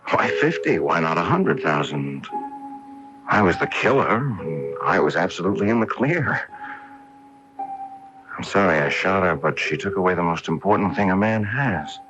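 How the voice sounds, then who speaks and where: Low voiced proud rich killer in old radio mystery, polite, muffled am sound w music. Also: male voice, animated, moderate pace. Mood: smug, reflective. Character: wealthy, proud husband, secret killer feigning politeness. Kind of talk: radio mystery drama acting.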